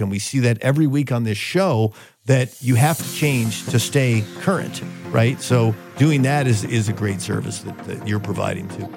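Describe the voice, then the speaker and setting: A man's voice: medium pitch, darkish tone, no echo, clean studio sound. A man hosting a radio business show, talking conversationally with joking asides.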